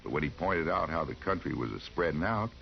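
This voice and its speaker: A man's voice: a bass voice, animated, brisk, vocal fry, soft background music. A man with an American accent telling a scripted tale on 1950s radio in a folksy, conversational way. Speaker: storyteller. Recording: dull, slightly noisy 1950s radio recording.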